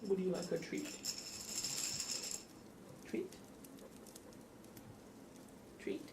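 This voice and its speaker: A man hosting an ASMR livestream, chatting casually in a soft, gentle, whispered way. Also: very slow, noisy background.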